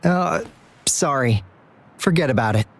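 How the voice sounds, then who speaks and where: An actor playing an earnest, hesitant young team leader in a video game, speaking in a measured way. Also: medium pitch, expressive. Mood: downcast, hesitant.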